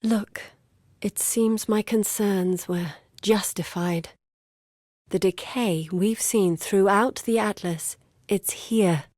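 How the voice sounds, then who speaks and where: A woman's voice: mid-range voice, takes it slowly, a little mellow, no echo, studio quality. An actress playing an earnest, determined woman scholar in a video game, speaking in a solemn, articulate and urgent dramatic manner. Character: earnest, determined woman scholar grieving her lost father. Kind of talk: dramatic scripted game dialogue.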